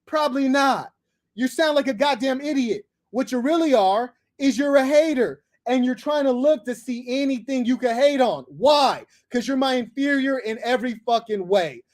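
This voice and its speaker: A man's voice: extremely high pitch, normal speed. A man from Los Angeles hosting a livestream, insulting and cursing at a caller in a heated, domineering way. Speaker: livestream host. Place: abroad on livestream.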